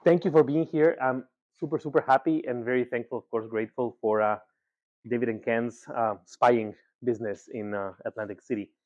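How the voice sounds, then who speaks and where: A man from Ecuador giving a conversational presentation as a guest speaker, often pausing with filler words and repeating himself. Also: mid-range voice, fairly even intonation, a little mellow. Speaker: guest speaker, agency founder. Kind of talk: presentation talk. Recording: slightly dull, lo-fi sound.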